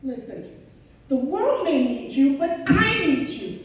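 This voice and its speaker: A woman acts out an imploring wife and mother in a stage drama, full of anguish. Her voice is very high and her delivery slow and emotional. It sounds distant, in a strongly reverberant space. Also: big pitch swings, heavily acted. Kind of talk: stage play acting. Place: theatre stage, recorded from a distance. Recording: echoey stage, distant microphone.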